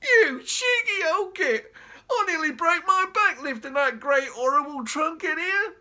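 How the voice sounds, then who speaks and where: A man voicing an exhausted, indignant taxi driver who shouts in outrage. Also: animated, a little slow, full character voice. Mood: outraged. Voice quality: high, strained, outraged.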